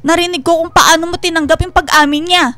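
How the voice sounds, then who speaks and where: A woman acting in a radio drama as a hurt, jealous wife, emotional, halting and accusing. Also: very high voice, fairly even intonation, sharp tone. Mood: angry, wounded. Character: hurt, jealous wife confronting her husband. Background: background music.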